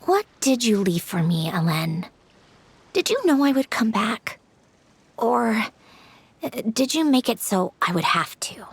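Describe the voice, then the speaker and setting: A woman's voice: on the high side, sing-song, a little slow, pauses often, slightly rough, exaggerated voice. A woman voicing a proud, guarded young woman in a video game, clipped, matter-of-fact and aloof. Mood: wistful, pensive. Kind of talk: English video game voice acting.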